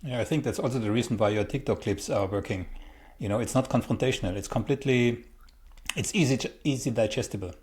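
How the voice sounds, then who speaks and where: A man interviewing a guest, asking questions carefully and correcting himself with halting restarts. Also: mid-range voice, a little mellow.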